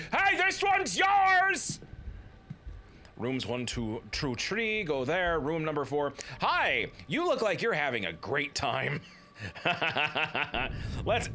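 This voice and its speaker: A man's voice: medium pitch, noisy background. A man in his thirties hosting a let's play, joking and commenting casually as he plays. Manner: playful, jokey, slips into silly voices.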